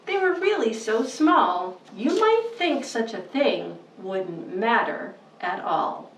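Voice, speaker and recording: A woman's voice: fairly high pitch, sing-song, slow pace, a little hiss or hum. A woman reading a bedtime story to young children with exaggerated, sing-song emphasis. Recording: roomy, distant microphone.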